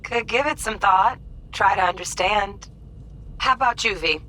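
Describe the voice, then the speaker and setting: An actress playing a curt, cautious, bookish hacker in a video game. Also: female voice, mid-range voice, unhurried, bright, forceful tone, a little hiss or hum, low-quality recording. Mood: brisk, dry. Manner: curt, no-nonsense.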